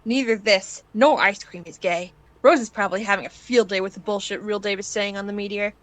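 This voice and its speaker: Casting: female narrator for story read-aloud, forward, bright tone, mid pitch. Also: fairly even intonation, fairly quick. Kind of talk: scripted fan fiction narration in second person.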